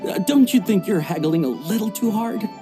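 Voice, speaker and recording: A man's voice: sits high, animated, normal speed, over music. An actor playing a flustered, evasive male tea shopkeeper in a video game, stammering nervously. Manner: stammering, nervous filler-laden speech.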